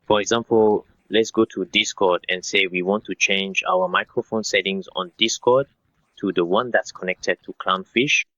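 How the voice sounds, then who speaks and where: A man in his thirties narrating a step-by-step software tutorial in an instructional way, speaking directly to viewers. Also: low-pitched, steady tone, normal speed, dry recording, lo-fi sound. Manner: instructional, addresses viewers as guys.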